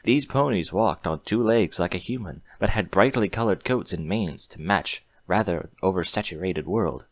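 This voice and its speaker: A man's voice: sits low, moderate pace, few pauses, no room sound. A man reading a story aloud in a scripted audiobook narration style.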